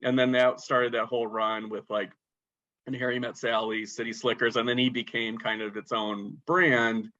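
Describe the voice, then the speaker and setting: A man co-hosting a casual movie podcast, talking in an animated way and peppering his speech with "like". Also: mid-range voice, moderate pace, highs cut off. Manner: animated, filler-heavy talk with lots of "like". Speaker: podcast co-host.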